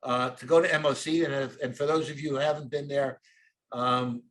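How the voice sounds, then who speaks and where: A man's voice: mid-range voice, steady tone, brisk, a bit bright. A middle-aged man with a New York accent, co-hosting and telling a long rambling story full of fillers. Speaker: co-host. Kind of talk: casual chat.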